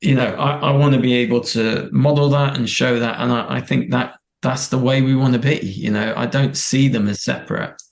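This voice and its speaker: Earnest, gravelly man reflecting aloud. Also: mid-range voice, steady tone, moderate pace, hardly any pauses. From grew up in Thailand and India. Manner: earnest, reflective, many restarts. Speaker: guest, performance director. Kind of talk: podcast interview conversation.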